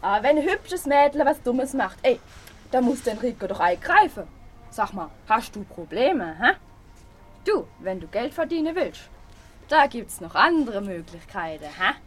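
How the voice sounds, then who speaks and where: A woman's voice: fairly high pitch, big pitch swings, normal speed, faint music behind. A woman playing a brash, sleazy, pushy young gangster rapper in a radio play. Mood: cocky, pushy. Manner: cocky, slangy, wheedling.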